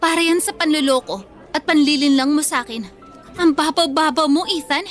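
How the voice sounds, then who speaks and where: Casting: actress as defiant wronged schoolgirl, Filipino accent, high voice, mocking and confrontational, radio melodrama. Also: fairly quick, soft background music, natural voice. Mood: scornful, righteous. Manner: taunting, confrontational, emphatic. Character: wronged young female student, vengeful, scornful and defiant. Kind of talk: Filipino radio drama acting.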